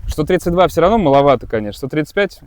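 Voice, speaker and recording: A man's voice: a little high, expressive, very fast, darkish tone. A man who runs a kite school, giving a casual, rambling gear review with many hesitations and restarts.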